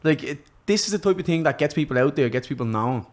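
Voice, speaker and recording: Animated irish guest, quick talker, fairly high voice, laughs a lot. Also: male voice. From Ireland. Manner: animated storyteller, laughs often, slangy. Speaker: podcast guest, professional boxer. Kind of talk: casual chat.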